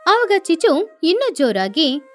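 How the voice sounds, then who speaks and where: A woman narrates a children's tale in a very high voice. Her pitch swings in a lively way, and music runs behind the narration. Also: unhurried. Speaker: story narrator. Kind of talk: scripted children's story narration.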